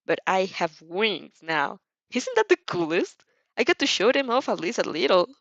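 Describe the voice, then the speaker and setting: A woman voices a young student hero, polite and quietly cocky. The delivery is lively and dryly confident at a medium pitch, in a dull lo-fi story recording. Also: moderate pace, no echo. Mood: breezy.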